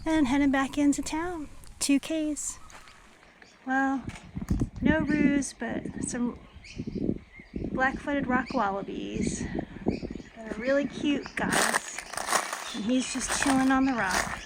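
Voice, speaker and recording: A woman's voice: high-pitched, takes it slowly, long pauses, heavy background noise. A middle-aged American woman chatting casually and informally to camera as a hiking vlogger, rambling a little as she goes. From United States.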